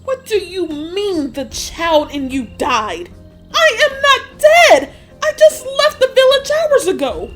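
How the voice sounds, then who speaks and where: A woman's voice: very high voice, sing-song, takes it slowly, a bit bright, full character voice. A woman playing an impulsive, distraught twelve-year-old princess in a fairy tale audiobook, speaking with exclamatory emotion. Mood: indignant. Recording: music behind the voice. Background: music.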